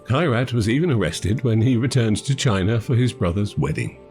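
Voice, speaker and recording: Slightly low-voiced male documentary narrator. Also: lively intonation, normal speed, a little mellow. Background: background music.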